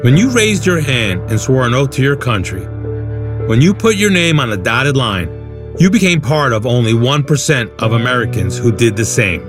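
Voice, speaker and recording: A man's voice: medium pitch, very animated, moderate pace, music in the background. A man narrating a public service ad script in an earnest, solemn way. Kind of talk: scripted ad voice-over.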